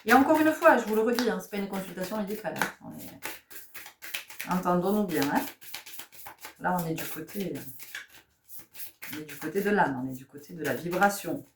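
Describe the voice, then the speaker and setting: A woman's voice: lowish voice, expressive, a little fast, long pauses, recorded from a distance, background noise. A woman giving a spiritual tarot guidance talk, asking rhetorical questions, repeating phrases and often checking in with her listeners. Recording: echoey room.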